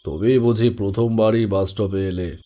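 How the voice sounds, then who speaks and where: Man voicing a low, weary ghostly stranger. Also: steady tone, natural voice. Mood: eerily calm. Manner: slow, quiet, cryptic. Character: mysterious, weary, calm ghostly male stranger at night.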